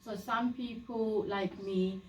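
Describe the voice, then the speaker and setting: A woman's voice: medium pitch, steady tone, slow, slight background noise. A woman whose first language is Sylheti, giving an informal talk to a community group and explaining things plainly with lots of fillers and restarts. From Bangladesh. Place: community meeting room. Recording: echoey room, voice from a distance.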